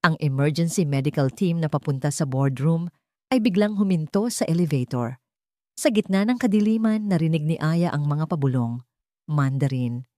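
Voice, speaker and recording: A woman's voice: sits low, expressive, normal speed, dark tone, dry recording, clean studio sound. A woman with a Filipino accent reading a scripted dramatic story as a narrator.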